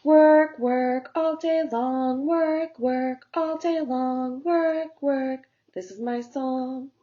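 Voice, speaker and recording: A woman's voice: high-pitched, steady tone, very slow, mellow, dull audio, pitched above the performer's own voice. A woman voicing a tiny, hard-working female ant from a children's storybook, earnest, scolding and emphatic. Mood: determined. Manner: emphatic, chanting. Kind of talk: children's storybook character voice with work chants.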